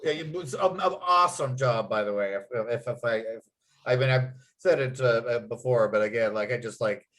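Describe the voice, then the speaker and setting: A man's voice: mid-range voice, normal speed, fairly bright tone. A man chatting casually in a group, rambling and enthusiastic, often stopping to restart his thoughts. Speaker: writer leading a table-read discussion.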